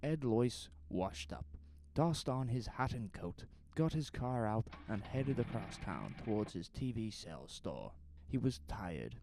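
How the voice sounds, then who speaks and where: A man's voice: lowish voice, very animated, a little slow, creaky, dark tone, a little hiss or hum. A man narrating a scripted horror story, with ambient sound effects behind him.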